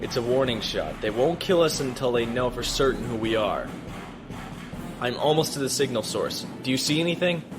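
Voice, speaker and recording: A determined male pilot played by an actor in a science fiction audio drama. He gives clipped, steady orders with a very mobile, mid-pitched voice over prominent music. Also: normal speed, natural voice. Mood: tense command. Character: calm, determined male spaceship pilot, steady under fire.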